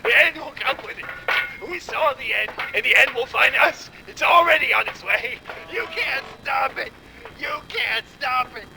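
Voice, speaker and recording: A man's voice: lively intonation, moderate pace, creaky, music in the background, exaggerated voice, poor audio. An actor playing a broken young man who raves ominously about doom with eerie glee, repeating himself, in a horror audio drama. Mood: ominous raving. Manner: ominous, repetitive, laughing. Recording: heavy rumbling engine-like noise.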